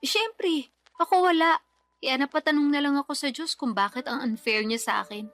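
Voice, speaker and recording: A woman's voice: high-pitched, moderate pace, a bit bright, no room sound. A woman playing an exhausted, resentful young single mother in a radio drama, over faint music. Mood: weary. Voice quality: weary, complaining, defensive. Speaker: the letter sender in the scene. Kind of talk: Tagalog radio drama acting. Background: faint background music.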